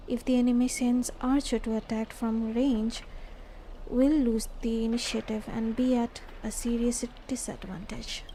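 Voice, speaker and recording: A woman's voice: on the high side, mellow, slight background noise, low-quality recording. A woman streamer reading a game's story dialogue aloud. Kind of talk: live stream read-aloud of game script. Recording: faint game music behind. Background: faint music.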